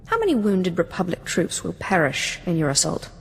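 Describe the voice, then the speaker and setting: A woman's voice: lowish voice, huge pitch swings, normal speed, soft background music. A woman playing a cool, commanding master in a video game, dryly sardonic and coldly amused by cruelty. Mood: skeptical. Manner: dry, imperious, sardonic. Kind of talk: video game character dialogue.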